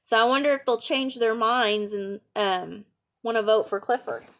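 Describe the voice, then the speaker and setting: A woman's voice: on the high side, moderate pace, fairly bright tone. A woman reading a picture book aloud to young children, adding chatty asides, simple explanations and questions for the listeners. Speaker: storytime reader and teacher.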